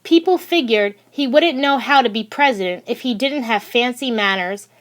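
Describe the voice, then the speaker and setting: A woman narrating a children's picture book aloud in a deliberate, expressive storytelling manner. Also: on the high side. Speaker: audiobook reader.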